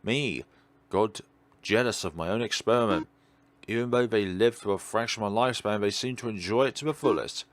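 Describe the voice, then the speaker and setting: A man's voice: lowish voice, brisk, close to an everyday voice. A man reading visual novel dialogue as a melancholy, world-weary God, in a wistful, dramatic way.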